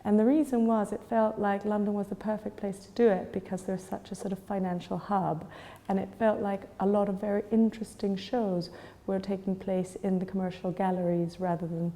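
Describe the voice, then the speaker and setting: A woman's voice: medium pitch, little pitch movement, fairly quick, dark tone, long reverb tail. A woman in her forties from Chile, answering interview questions thoughtfully, with many fillers and restarts. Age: 40s. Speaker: gallery owner.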